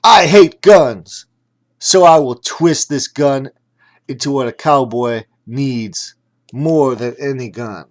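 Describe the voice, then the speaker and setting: A man voicing an earnest young kung fu cowboy hero, calling out in a loud, declaiming way. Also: medium pitch, slow, lightly acted. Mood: defiant.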